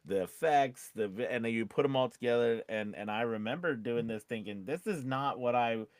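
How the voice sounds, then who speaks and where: A man's voice: mid-range voice, moderate pace, no room sound. A man co-hosting a casual talk as a voice-over coach, conversational and rambling, repeating points for emphasis. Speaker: podcast co-host and voice-over coach. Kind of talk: casual chat with advice to voice actors.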